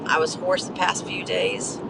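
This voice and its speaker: A hoarse middle-aged woman chatting casually with her viewers during a live stream while driving. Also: fairly low pitch, level tone, unhurried. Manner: chatty, coughing between remarks. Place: inside a moving car. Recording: road noise from a moving car.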